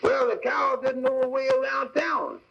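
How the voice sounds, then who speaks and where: An actor playing a simple, earnest, literal-minded country cab driver in a 1940s radio comedy. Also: male voice, very high voice, moderate pace, gravelly, a bit bright, heavily acted. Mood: earnest, matter-of-fact. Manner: folksy, literal-minded, ungrammatical. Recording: muffled old 1940s radio recording.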